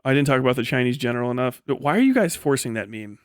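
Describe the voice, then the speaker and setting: Male stream host, mid-pitched, blunt and profane, off-the-cuff opinions, very little room sound. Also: big pitch swings, very fast, no room sound, clean studio sound. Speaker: livestream host reading and answering chat. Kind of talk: casual, unscripted livestream commentary.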